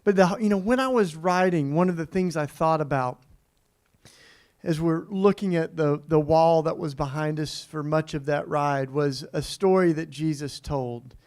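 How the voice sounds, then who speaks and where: A man speaking as a guest, telling a relaxed, folksy story that turns to scripture. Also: fairly high pitch, moderate pace. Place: indoor event venue.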